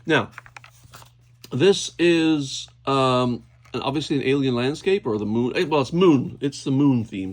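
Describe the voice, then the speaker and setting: A man hosting a casual, unscripted toy unboxing, thinking aloud hesitantly as he handles things. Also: mid-range voice. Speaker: toy unboxing video host. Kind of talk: casual unscripted hands-on commentary. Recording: clear background noise, clicking handling sounds.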